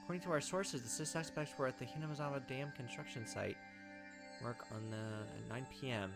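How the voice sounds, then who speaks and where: Someone doing a lets play, reading story text out loud, casual comments, faint music. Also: mid-range voice, fairly quick, vocal fry. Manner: reads aloud and drops in offhand asides. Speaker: let's play host reading a visual novel aloud. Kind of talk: game narration with casual commentary.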